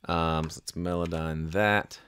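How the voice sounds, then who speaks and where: A man giving a casual, hands-on software tutorial, thinking aloud and improvising as he goes. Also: low voice, fairly even intonation, a little slow, clear, resonant tone, dry recording. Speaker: music production tutorial host.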